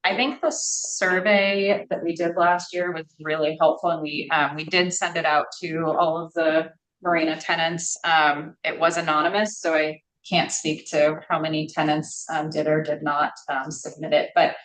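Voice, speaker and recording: Soft-spoken low-pitched woman presenting. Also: level tone, rough recording. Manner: measured, frequent ums and hedging fillers. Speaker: port staff member presenting to commissioners. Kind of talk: public meeting presentation.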